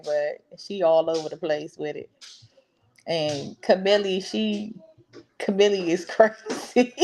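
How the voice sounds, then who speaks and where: A woman's voice: mid-range voice, a little mellow. A woman chatting casually as a guest on an interview. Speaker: cast member, guest. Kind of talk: casual chat. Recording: faint background noise.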